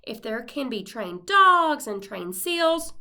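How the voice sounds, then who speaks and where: A mild, dreamy husband from a children's storybook, played by a woman reader, bright in tone. He speaks with gentle, thoughtful earnestness and steady optimism. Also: fairly high pitch, slow pace, full character voice. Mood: eager, thoughtful.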